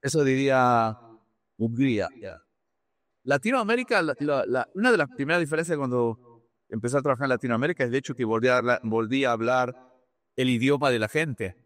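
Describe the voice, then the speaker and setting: A man from Argentina, answering interview questions conversationally as a business executive, haltingly, repeating words and dropping in English terms. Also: mid-range voice, expressive, fairly bright tone.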